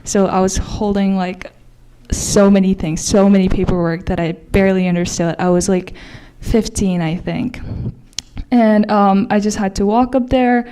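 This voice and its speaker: A woman's voice: mid-range voice, steady tone, normal speed, dark tone, smooth. A young adult woman from Nepal, a college senior, telling her immigration story casually with frequent fillers. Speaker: college student. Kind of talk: personal testimony.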